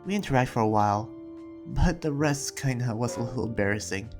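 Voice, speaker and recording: A roleplayer voicing an earnest, troubled demon slayer, telling events in a halting, reflective recap with restarts. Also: mid-range voice, lively intonation, a little mellow, close to an everyday voice. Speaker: roleplayer and video creator. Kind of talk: in-character recap narration and improvised roleplay. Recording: music under the voice. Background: music.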